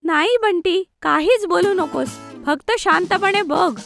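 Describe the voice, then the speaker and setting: A woman voicing a cheerful, chatty and slightly bossy schoolgirl in a cartoon, with bright, eager chatter. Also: very high-pitched, unhurried, gravelly, faint music behind, heavily acted. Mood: teasing.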